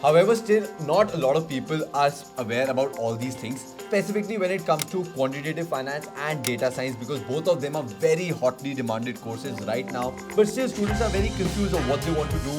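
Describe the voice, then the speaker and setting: Brisk male host. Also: a little high, over music. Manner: energetic, addresses viewers as "guys". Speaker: interviewer and video host. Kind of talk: upbeat talk to camera and interview.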